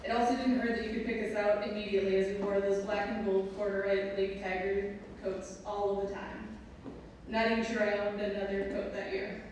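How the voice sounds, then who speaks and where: A woman's voice: medium pitch, level tone, brisk, darkish tone, far from the mic. Wisconsin lady reading a speech at a ceremony, really echoey, faint noise. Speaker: presenter introducing her mother. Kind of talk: prepared speech read aloud. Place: large echoing hall at a ceremony.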